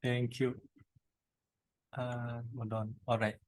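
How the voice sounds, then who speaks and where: A man's voice: medium pitch, flat delivery, slow, a little mellow. A man acting as general evaluator, briefly and politely introducing the next speaker. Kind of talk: chairing a club meeting on a video call.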